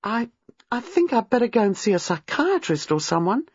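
A woman reading a storybook, playing a distraught young married woman who is frightened, halting and agitated. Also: on the high side, big pitch swings, husky, no room sound, lo-fi sound, pitched above the performer's own voice. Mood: anxious. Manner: agitated, halting, rushing in bursts.

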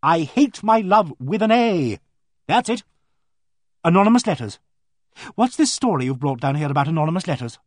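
An eccentric storybook vicar's wife, acted out by a man, pitched well above his own voice and swooping about. She is abrupt and emphatic, forthright and absent-minded at once, with a husky edge. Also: very animated, normal speed, long pauses, dry recording, studio quality. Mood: accusing. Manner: abrupt, emphatic, earnest yet vague.

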